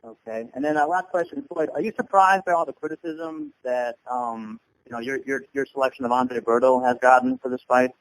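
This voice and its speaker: A man with an average-pitched voice puts questions as a reporter. He is hesitant, breaking his questions into short pieces and restarting as he goes. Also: fairly quick, no room sound, poor audio. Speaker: newspaper reporter. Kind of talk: asking questions on a press call. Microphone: conference call line.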